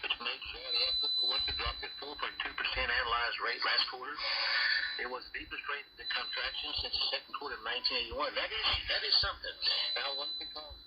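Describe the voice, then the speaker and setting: A man's voice: mid-range voice, brisk. An American man co-hosting a radio talk show, commenting on the news in a rambling, repetitive, questioning way over crackling shortwave. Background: heavy radio static.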